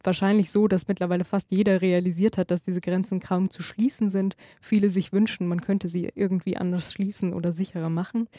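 A woman hosting a radio interview, putting prepared questions in a measured, articulate way. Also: fairly low pitch, flat delivery, warm tone, no room sound, clean studio sound. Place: radio studio.

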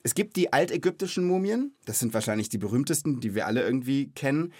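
A man's voice: mid-range voice, animated, quick pace, darkish tone, breathy edge, no room sound, clean studio sound. A man in his thirties hosting a children's podcast, explaining things in an informal, chatty, conversational way.

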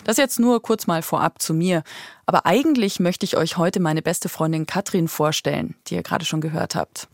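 A woman's voice: on the low side, normal speed, soft background music. A woman in her thirties hosting a podcast with conversational narration. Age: 30s. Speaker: podcast host.

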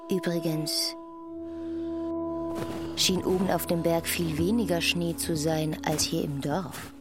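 A female narrator reads literary prose very deliberately, at a middle pitch. Music sounds clearly behind her unhurried voice. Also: steady tone.

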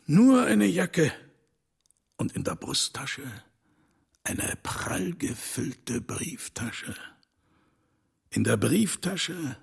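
A man playing an enigmatic, commanding older rich man in a dubbed film drama, speaking deliberately and theatrically with dramatic pauses and hushed drops. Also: fairly high pitch, exaggerated voice. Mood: haunted, storytelling. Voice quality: gravelly, husky.

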